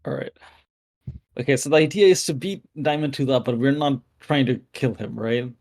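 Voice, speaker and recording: A man's voice: medium pitch, normal speed, darkish tone. A man in casual game chat as a player, thinking aloud in a hesitant way.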